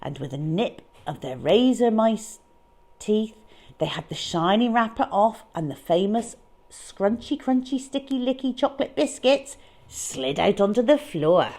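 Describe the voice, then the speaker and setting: A woman reading a picture book aloud as a children's storyteller, with dramatic, deliberate delivery and emphatic stresses. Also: mid-range voice, lively intonation, warm tone.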